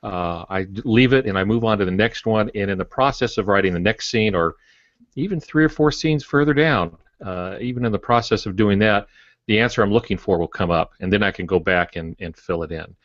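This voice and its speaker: A man's voice: fairly low pitch, fairly quick, no room sound. An older man co-hosting a podcast, giving casual advice in a wry, folksy way. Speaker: podcast co-host. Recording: dull, dry lo-fi audio.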